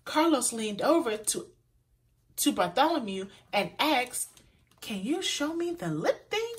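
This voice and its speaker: Animated, high-pitched woman reading to children. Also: breathy edge. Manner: pauses to point out words to young listeners; animated, deliberate delivery for children. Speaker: children's storyteller. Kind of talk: expressive read-aloud of a children's picture book.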